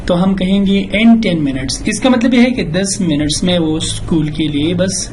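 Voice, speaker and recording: A man with a Pakistani accent teaching a bilingual English lesson, explaining grammar and reading out examples in a deliberate, instructional way. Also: sits high, darkish tone, a little hiss or hum.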